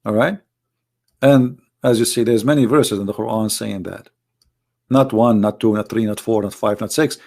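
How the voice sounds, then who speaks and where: A man's voice: fairly low pitch, moderate pace, creaky, darkish tone. Man, video host, argumentative monologue, emphatic, repetitive, rhetorical questions, non-native English phrasing.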